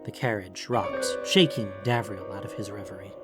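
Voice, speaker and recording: A man's voice: fairly low pitch, normal speed, loud music behind the voice. A man reading scripted fantasy fiction as a dramatic audiobook narrator.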